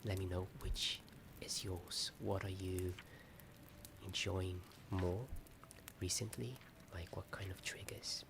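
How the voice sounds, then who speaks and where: A man whispering a hesitant, rambling ASMR chat in a soft, often breath-only voice. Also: low-pitched, level tone, slow pace, gravelly. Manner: hesitant, rambling, self-deprecating. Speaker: ASMR video host. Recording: strong ambient noise behind whisper. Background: added ambience sound.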